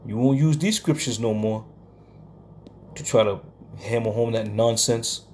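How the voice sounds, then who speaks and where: Brief: male Bible teacher, slow and deliberate, combative and scolding, mid pitch, faint room noise. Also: a little mellow. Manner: combative, scolds listeners, keeps asking "okay?"; slow and deliberate, some coarse language. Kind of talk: home Bible study lecture.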